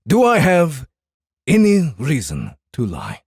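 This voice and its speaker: An actor playing a grave, formal, centuries-old Japanese samurai warrior in a dramatized audiobook. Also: male voice, fairly high pitch, huge pitch swings, a little mellow, slightly rough, no echo, clean studio sound, full character voice. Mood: flat, desperate. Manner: solemn, formal, deliberate. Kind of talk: dramatized audiobook character acting.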